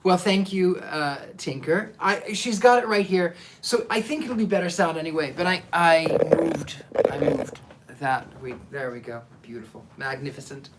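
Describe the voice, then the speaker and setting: A man's voice: fairly high pitch, moderate pace, a bit bright, slight background noise. A man hosting a livestream as a storyteller, moving between dramatic live reading and casual asides to listeners.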